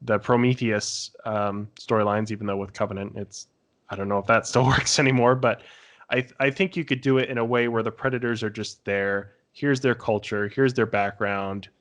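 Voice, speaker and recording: A man's voice: lowish voice, fairly even intonation, moderate pace, fairly bright tone. A man talking casually as a panellist in a fan discussion podcast.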